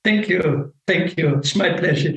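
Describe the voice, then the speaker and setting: Slow, gravelly Dutch-accented man. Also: on the high side, fairly bright tone, roomy sound, poor audio. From Netherlands. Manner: slow, halting non-native English with frequent restarts. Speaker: Vedic meditation teacher. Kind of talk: casual interview conversation.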